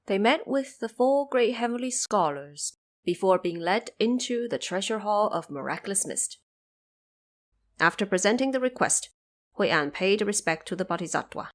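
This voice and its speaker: A woman's voice: mid-range voice, animated, moderate pace. A woman reading scripted narration as the storyteller of an audio drama.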